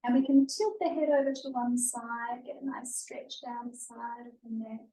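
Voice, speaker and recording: A woman yoga instructor leading a guided stretching and breathing class in a gentle, soothing, unhurried manner, recorded live in a studio. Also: a little high, steady tone. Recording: echoey room, distant mic.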